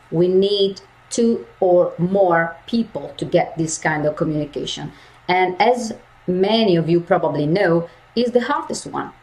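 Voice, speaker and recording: Slow, emphatic woman voice coach. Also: lowish voice, a little mellow, rough recording. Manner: slow, emphatic, repeats key phrases. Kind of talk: lecture to university students.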